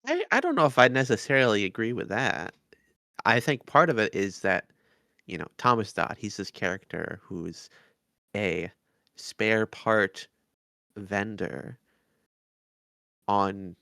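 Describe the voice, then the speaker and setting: A man co-hosting a podcast, discussing things in a measured, thoughtful and somewhat hesitant way. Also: fairly low pitch, big pitch swings, pushed and bright, no echo, clean studio sound. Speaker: podcast co-host. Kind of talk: casual analytical discussion.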